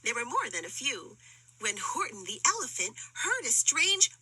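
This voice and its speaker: A woman narrating a rhyming children's picture book as an expressive storyteller. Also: fairly high pitch, moderate pace, husky, no room sound, poor audio.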